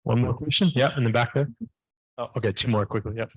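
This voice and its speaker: A man moderating a startup event, taking audience questions and introducing speakers in brisk, courteous phrases. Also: medium pitch, slightly rough, no room sound, dull audio. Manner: brisk, courteous, short prompts. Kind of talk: moderating a panel Q&A.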